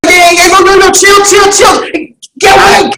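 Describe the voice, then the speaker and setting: A woman reading a script aloud, voicing a brash, panicky teenage boy who shouts. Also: very high-pitched, flat delivery, normal speed, noisy background, voice pushed up. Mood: panicked. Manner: frantic, shouting. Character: panicky young male teen, brash. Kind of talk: script table read.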